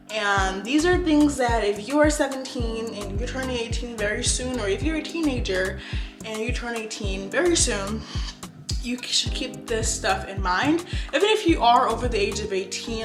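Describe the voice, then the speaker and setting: A woman's voice: mid-range voice, reverberant. A seventeen-year-old girl from the USA talking casually to camera about money, with lots of "like" and "I don't know". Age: teens. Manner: chatty, full of "like" and "I don't know". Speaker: finance YouTuber.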